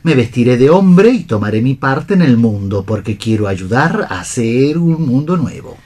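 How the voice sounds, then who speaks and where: An actor playing a fussy, pedantic prompter in a radio play, with a theatrical manner. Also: male voice, mid-range voice, animated, normal speed, darkish tone, close to an everyday voice. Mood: nostalgic.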